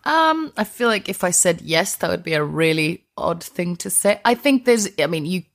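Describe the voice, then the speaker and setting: An Icelandic woman talks conversationally as an interview guest. Her voice sits a little low, with plenty of creak. She explains things in a chatty, enthusiastic and reflective way. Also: expressive, normal speed. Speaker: interview guest, transport historian.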